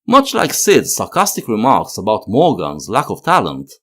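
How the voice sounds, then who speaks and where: Guy doing a video essay voiceover, lowish voice, really swoopy expressive delivery. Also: normal speed. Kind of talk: scripted analytical narration of a TV series.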